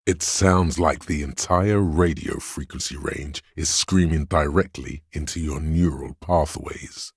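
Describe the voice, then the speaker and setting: A man voicing a grim, wry inner voice of pain in a video game, narrating to the listener in a husky, deliberate, ominous way. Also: extremely low pitch, no echo, clean studio sound, full character voice. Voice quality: gravelly, husky. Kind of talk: scripted second-person game narration.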